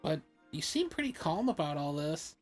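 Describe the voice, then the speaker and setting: A woman voicing an awkward, puzzled young adventurer in an improvised comedic fan dub of a fantasy game, speaking casually and haltingly. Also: sits low, big pitch swings. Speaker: amateur live fan-dub voice actor. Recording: background music under the voice.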